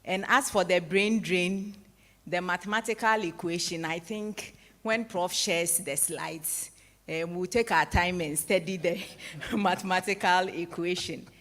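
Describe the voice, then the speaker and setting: A woman in her forties from Ghana, moderating a public lecture in a large hall, summing up the talk in a measured, formal way before opening the floor. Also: fairly low pitch, a bit bright. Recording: echoey hall with long reverb.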